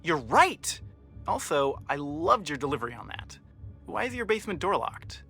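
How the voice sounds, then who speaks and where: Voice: mid-range voice, very animated, moderate pace, gravelly, pushed and bright, full character voice. An actor playing a goofy, overeager teenage boyfriend in a horror-comic video game, coaxing in an exaggerated way. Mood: eager coaxing. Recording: music under the voice.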